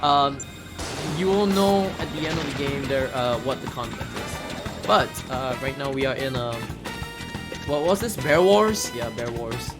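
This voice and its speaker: A man giving casual live commentary as he plays a video game, excitable and easily amused. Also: mid-range voice, unhurried. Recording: game music playing behind voice. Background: video game music and sounds.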